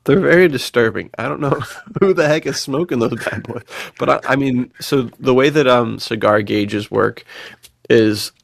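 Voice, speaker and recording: A man's voice: mid-range voice, normal speed, vocal fry, no room sound. A man chatting casually as a podcast co-host, enthusiastic and full of bro slang. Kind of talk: casual chat.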